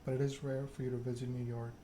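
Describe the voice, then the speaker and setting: A man plays a proud, scheming game vampire, delivering a self-important monologue in ASMR style. The pitch is middling and very level, and clear noise sits behind the voice. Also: level tone, fast, dark tone, lightly acted. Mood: smooth, persuasive. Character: proud, scheming vampire aristocrat telling their story. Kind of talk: ASMR read-aloud of game dialogue.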